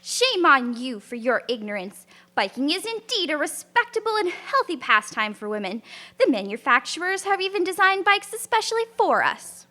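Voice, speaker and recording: A woman performs a prim and assertive lady cyclist of the 1890s in a radio play. Her voice is high and bright, swinging up and down as she sets people straight with indignation. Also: very animated, moderate pace, heavily acted. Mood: indignant. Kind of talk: radio drama acting.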